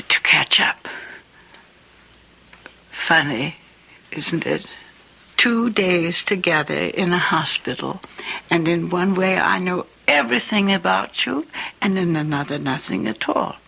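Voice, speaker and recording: A woman playing a frail, elderly dying grandmother in a radio drama, tender and wistful, with a halting, breathy rasp. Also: lowish voice, heavily acted, rough recording. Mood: tender, pleading. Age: older. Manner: halting, gentle, affectionate.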